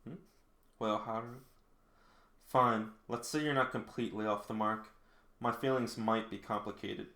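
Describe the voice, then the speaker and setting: A man reading the part of a guarded, bitter female vampire from a manga, in a halting, solemn way. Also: on the low side, steady tone, pushed and bright. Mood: guarded, angry. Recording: roomy, echoing indoor sound.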